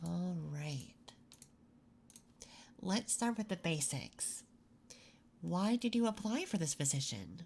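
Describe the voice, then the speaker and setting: A woman playing a curious, attentive interviewer in an unhurried, intimate, soft-spoken ASMR roleplay. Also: lowish voice, big pitch swings, creaky, a little hiss or hum, full character voice. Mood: softly intrigued. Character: curious, attentive female interviewer in a late-night office. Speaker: ASMR performer.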